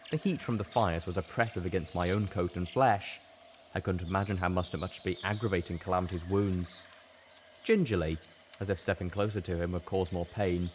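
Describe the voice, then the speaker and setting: Male audiobook narrator, lowish voice, dramatic first-person fiction, some background noise and ambience. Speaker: story reader.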